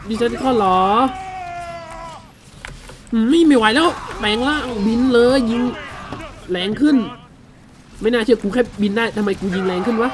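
A man's voice: high voice, background noise. A man doing casual video game commentary, chatting to viewers with frequent exclamations and crude slang. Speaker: gaming video host. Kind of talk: casual game commentary with loosely dubbed dialogue.

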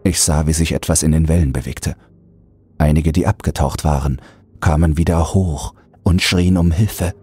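A man narrating a scripted horror audiobook in a deliberate, brooding delivery. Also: very deep voice, fairly even intonation, darkish tone, breathy edge, no echo.

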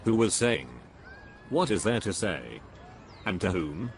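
A pompous cartoon guru, acted by a man, preaching in a dogmatic, dismissive way. His pitch sits a little low and swings around a lot. Clear outdoor noise and chatter run behind him. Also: very animated, a little slow, heavily acted. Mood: preachy, dismissive. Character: pompous preachy man playing enlightened non-duality guru. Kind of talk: scripted animated dialogue.

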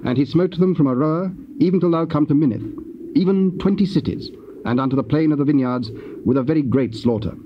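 A man narrating scripture from a script in a solemn, measured and formal way. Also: a little high. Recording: muffled old cassette, faint hiss.

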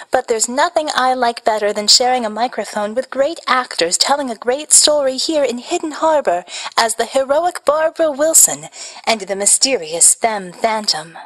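A woman's voice: fairly high pitch, lively intonation, moderate pace, fairly bright tone, poor audio. A woman playing a glamorous female movie star appearing on an old-time radio show. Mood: gushing. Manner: polished, glamorous. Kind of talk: 1940s radio acting.

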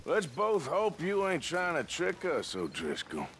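An actor playing a gruff, wry American outlaw gang enforcer in a video game, drawling dry threats. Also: male voice, a little high, huge pitch swings, a little slow, heavy background noise, exaggerated voice. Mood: dry threatening. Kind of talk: cinematic video game acting.

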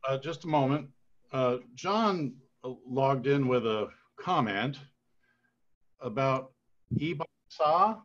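An older man moderates questions over a slightly dull video-call line. He speaks slowly and haltingly, in an informal way. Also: mid-range voice, animated, fairly bright tone. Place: home room, on a video call.

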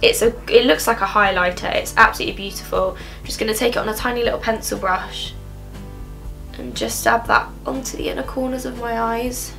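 Chatty young woman with a gravelly, bright voice. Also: fairly high pitch, fairly even intonation, a little fast, soft background music. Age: young adult. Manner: rambling, casual, frequent "um". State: slightly nasal from a cold. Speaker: beauty vlogger. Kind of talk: chatty makeup tutorial. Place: bedroom. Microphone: camera in front at close range.

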